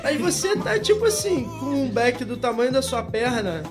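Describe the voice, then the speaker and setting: A man's voice: extremely high pitch, very animated, moderate pace, over music. A man co-hosting a casual chat with friends, playful and slangy, fond of painting vivid scenes as he talks. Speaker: podcast co-host.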